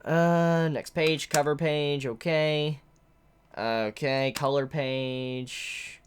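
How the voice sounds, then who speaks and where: A woman giving a chatty, excitable live manga reaction while congested from a head cold. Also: deep voice, drawn-out words, clear, resonant tone. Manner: excitable, chatty, exclaims often.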